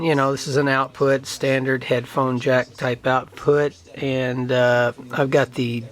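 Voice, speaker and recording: Rambling man chatting casually. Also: mid-range voice. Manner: rambling, thinking aloud. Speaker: video host. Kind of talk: casual show-and-tell chat.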